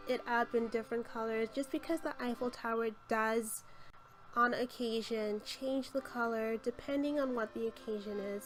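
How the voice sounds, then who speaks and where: A woman with a cold and a hoarse voice, giving a chatty, gushing voice-over tour of a game build with frequent ums. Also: a little high, low-quality recording. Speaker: game channel host. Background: light background music.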